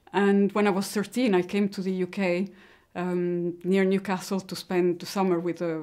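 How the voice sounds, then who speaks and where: A middle-aged woman who grew up in Italy, giving a personal account in a hesitant, halting way. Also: lowish voice, a little mellow. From born in Mexico, grew up in Italy. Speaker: interviewee giving personal testimony.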